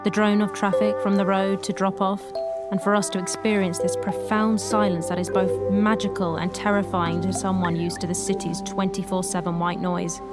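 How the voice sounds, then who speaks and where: An actress playing an observant, wonder-struck city woman in an audio drama, describing things in a hushed way. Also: mid-range voice, flat delivery, normal speed, close to an everyday voice. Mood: awed, hushed. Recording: music behind the voice.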